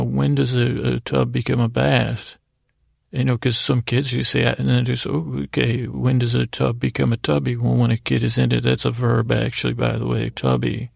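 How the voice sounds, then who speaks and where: Male sleep podcast host, heavy vocal fry, dry close sound, rambling off the cuff with stammers and detours. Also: medium pitch, no room sound. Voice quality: gravelly, creaky. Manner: meandering, halting, stammering, self-interrupting. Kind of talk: rambling improvised bedtime monologue.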